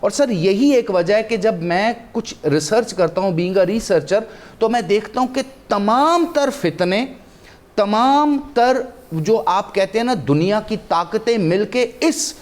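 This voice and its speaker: A man's voice: high-pitched, animated, slow. A man with a Pakistani accent giving impassioned, emphatic religious and historical commentary as a talk show guest, building his points through repetition.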